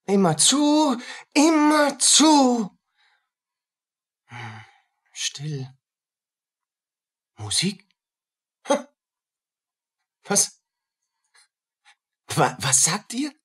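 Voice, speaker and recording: A haunted, jealous soldier from a radio play, acted by a woman. The voice is fairly high and breathy, very drawn out, and it swings widely as obsessive fragments break out. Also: very animated, extremely slow, pauses often, full character voice. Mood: haunted, deranged. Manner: agitated, fragmented, obsessive repetitions. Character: tormented, jealous young male soldier hearing voices. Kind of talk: dramatic radio play acting.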